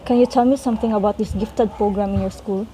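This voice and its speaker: A woman's voice: mid-range voice, steady tone, quick pace, mellow, a little hiss or hum, natural voice, low-quality recording. A woman voicing a stern, impatient investigator who questions students in a firm, businesslike way in a student radio drama.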